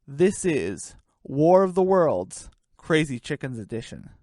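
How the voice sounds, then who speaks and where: A woman's voice: deep voice, sing-song, slow pace. A woman narrating a scripted comic radio play in a storytelling style.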